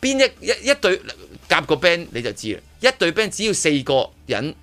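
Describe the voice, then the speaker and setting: A man's voice: on the high side, wildly swooping pitch, normal speed, fairly bright tone. A man with a Hong Kong Cantonese accent hosting casual radio chat, opinionated and emphatic, often repeating words. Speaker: radio show host.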